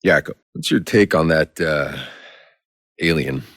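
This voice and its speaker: An actor playing a world-weary, sardonic soldier in a video game, gravelly, dry and blunt. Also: male voice, rumbling low, a little slow, fairly bright tone, no room sound, exaggerated voice. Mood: questioning, wary. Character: gruff, world-weary male Outrider soldier, sardonic and foul-mouthed.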